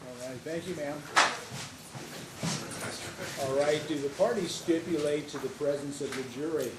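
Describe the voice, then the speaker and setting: A man serving as judge, giving the jury formal instructions in a measured way with light asides. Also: a little high. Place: courtroom. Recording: distant, roomy courtroom audio with noise.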